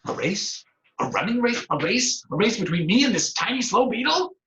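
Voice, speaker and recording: Man voicing a high, loud, hyperactive storybook rat, pushy and badgering, echoey dull room. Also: animated, slight background noise. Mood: excited. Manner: rapid, badgering, high-pitched.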